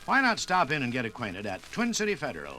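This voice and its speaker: An American man hosting 1950s radio, giving a folksy, emphatic conversational sponsor pitch. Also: huge pitch swings, fast, bright, forceful tone, low-quality recording. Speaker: radio host and sponsor announcer.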